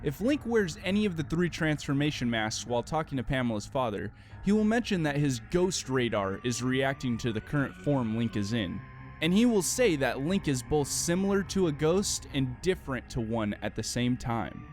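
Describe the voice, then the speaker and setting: A man's voice: mid-range voice, big pitch swings, moderate pace. A man narrating a scripted, analytical video game theory explainer. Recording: music playing behind the voice. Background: background music.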